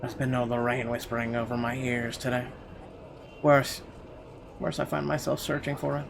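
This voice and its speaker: A man's voice: medium pitch, level tone, normal speed, gravelly, background noise. A man streaming a game, reading its narration aloud with the odd stumble and offhand aside. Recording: game music behind the voice.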